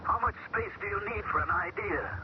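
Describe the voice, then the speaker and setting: An actor playing a man taken over by an alien mind, who talks calmly and measures his words in an old radio drama. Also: high-pitched. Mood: eerie. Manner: calm, measured, searching for words. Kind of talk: 1970s radio drama acting. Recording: dull old radio broadcast with loud hiss.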